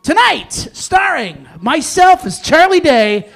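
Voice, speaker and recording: A man hosting a live stage event, announcing informally with halting, self-interrupting delivery. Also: very high voice, very animated, vocal fry, fairly bright tone. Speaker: host of a live table read.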